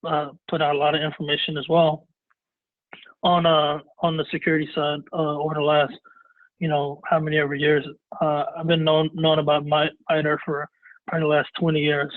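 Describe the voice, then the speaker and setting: A man with a cold, his voice raspy and hoarse, presenting a webinar in a halting way full of fillers and restarts. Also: on the high side, monotone, no room sound. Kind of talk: casual presentation. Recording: dry, tinny phone-like line.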